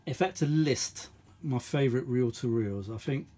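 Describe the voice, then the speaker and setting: Middle aged guy, bit husky, rambling hands-on chat, thinks out loud n repeats himself. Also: medium pitch, moderate pace. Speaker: vintage audio channel host. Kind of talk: casual unscripted hands-on chat.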